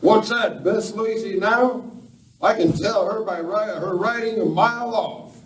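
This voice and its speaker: Male actor as veteran outlaw, high voice, slow folksy delivery, Western radio play in a roomy, echoing space. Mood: knowing, cautioning. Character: seasoned male outlaw hand, gruffly advising the kid. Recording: echoey hall, distant mic, faint noise.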